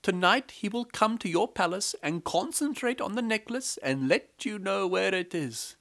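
A man voicing a clever, flattering village wife. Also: normal speed, vocal fry, full character voice. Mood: persuasive. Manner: flattering, soothing, high-pitched. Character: clever humble wife of a poor Brahmin.

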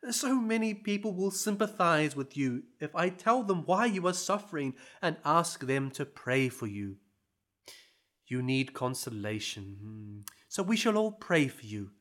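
A narrator voicing a concerned priest who asks soothing, pleading questions, with faint music behind. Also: medium pitch. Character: concerned village priest, gentle and earnest.

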